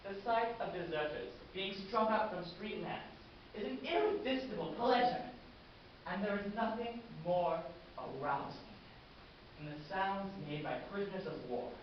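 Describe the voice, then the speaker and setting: A man playing a fanatical, war-loving military commander in a grandiose, savoring theatrical monologue, addressing his battalion with deliberate oratory. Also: high voice, lively intonation. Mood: ecstatic, cruel. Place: large echoing room, festival stage performance. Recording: echoey hall from far off, muffled, hissy.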